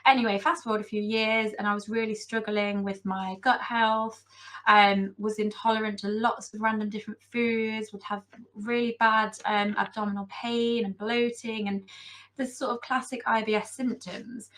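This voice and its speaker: Hoarse, gravelly-voiced young woman. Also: medium pitch, level tone, moderate pace, fairly bright tone. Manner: chatty, many fillers. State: hoarse from a cold. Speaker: nutritional therapist guest. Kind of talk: casual video call interview. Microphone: video call.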